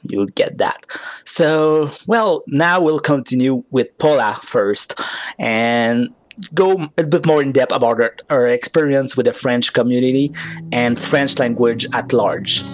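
A man's voice: medium pitch, unhurried. A male interviewer narrating links between interview segments in an explanatory way.

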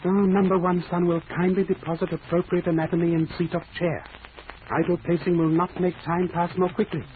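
An actor playing a wise, polite middle-aged detective, speaking in clipped phrases with dropped articles and formal proverbs, in 1940s radio acting style. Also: male voice, sits high, little pitch movement, fairly quick, close to an everyday voice. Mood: calm, formal. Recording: tinny hissy old radio recording.

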